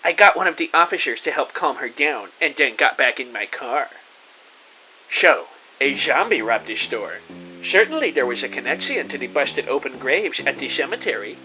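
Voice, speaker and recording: A man playing a self-important, excitable private investigator, narrating his case theatrically in an audio drama. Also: mid-range voice, moderate pace, faint music behind, exaggerated voice, low-quality recording. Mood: businesslike. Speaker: narrator and lead actor. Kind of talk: first-person detective audio drama narration.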